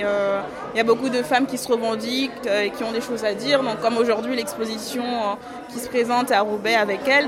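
A young woman in her twenties, an artist photographer, answering questions in a casual radio interview with many fillers and easy laughs. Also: on the high side.

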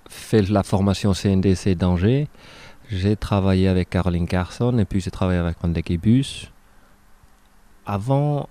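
A man's voice: lowish voice, warm tone. A man from Finland in his thirties, speaking with a Finnish accent as a dancer and choreographer interviewed on radio, hesitant with many restarts.

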